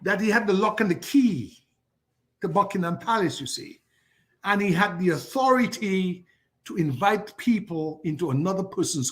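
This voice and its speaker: An older man from Dominica, speaking as a guest on a political talk show in a fiery way, piling up repeated rhetorical lists. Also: high-pitched. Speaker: guest commentator.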